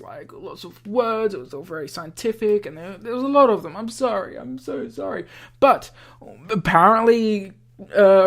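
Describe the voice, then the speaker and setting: A woman doing a casual let's play, reading visual novel text aloud and breaking in with chatty comments of her own. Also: mid-range voice. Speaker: gaming video host. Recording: game music behind the voice. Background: video game music.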